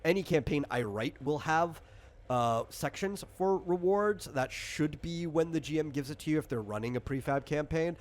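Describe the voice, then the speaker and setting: A man's voice: fairly high pitch, normal speed. A man casually walking a player through a tabletop game as game master, rambling, explanatory and freely opinionated.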